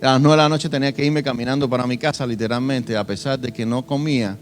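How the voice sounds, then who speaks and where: A young man from Cuba, preaching a sermon and telling his own testimony, swinging between soft and loud emphasis. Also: mid-range voice, fairly even intonation, fast, faint music behind. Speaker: pastor.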